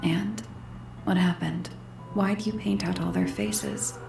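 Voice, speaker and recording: Serious female game detective: gravelly, lowish, pointed questioning, music behind. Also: steady tone, unhurried. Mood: probing, serious. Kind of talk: scripted video game dialogue.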